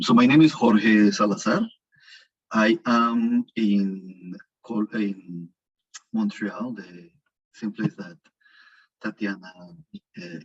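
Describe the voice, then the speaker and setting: A man introducing himself on an online panel in a halting way, searching for words, over a video call with dull lo-fi audio. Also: lowish voice, slightly rough. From Colombia. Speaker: nonprofit leadership developer.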